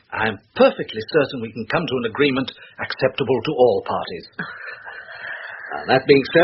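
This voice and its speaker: An actor playing a courteous, formal English property agent with a British accent in an old-time radio drama. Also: male voice, mid-range voice, natural voice. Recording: muffled, hissy old radio recording.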